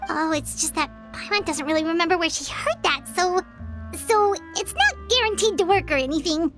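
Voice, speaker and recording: Very high, squeaky fairy companion. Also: female voice, expressive, moderate pace, over music. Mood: hesitant, unsure. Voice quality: squeaky, childlike. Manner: stammering, refers to herself by name. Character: small childlike fairy companion, chatty, eager, easily flustered. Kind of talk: animated game voice acting.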